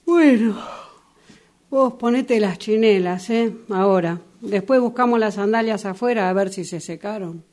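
A woman's voice: mid-range voice, a little slow, darkish tone, lightly acted. A woman in an amateur radio drama playing a fussy, solicitous retired old sister with a Rioplatense Argentine accent, given to fretful exclamations. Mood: soothing.

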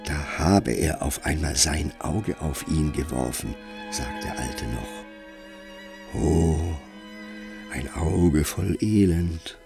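An actor playing a grave, brooding narrator of a Gothic tale in a radio play, measured and solemn with dramatic emphasis. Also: male voice, extremely low pitch, vocal fry, darkish tone, exaggerated voice. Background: music.

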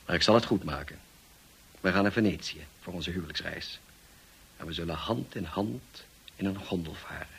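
An actor playing a brusque, teasing, moody gentleman in a Dutch radio drama, speaking in a dry, commanding way. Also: low-pitched, normal speed, vocal fry, slightly rough, full character voice. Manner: dry, commanding, clipped questioning.

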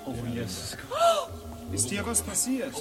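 An actor playing an urgent, insistent doctor at an emergency in a German radio play. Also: male voice, high voice, big pitch swings, measured pace, music in the background. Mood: alarmed, urgent. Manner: pressing, insistent. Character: urgent male doctor pushing through a crowd.

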